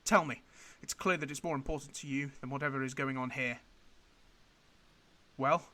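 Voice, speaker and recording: A man's voice: medium pitch, steady tone, normal speed, bright, forceful tone, natural voice. A man playing a stern, angry military officer in a radio drama, scolding in a clipped, authoritarian way. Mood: angry, demanding. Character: stern, angry male military superior berating a subordinate.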